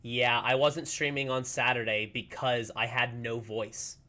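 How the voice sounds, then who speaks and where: A man streaming a game in casual, rambling chat, slightly hoarse as he recovers from a lost voice. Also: medium pitch, level tone, unhurried, pushed and bright. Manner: chatty, upbeat, rambling with many fillers. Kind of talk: casual livestream chat while playing.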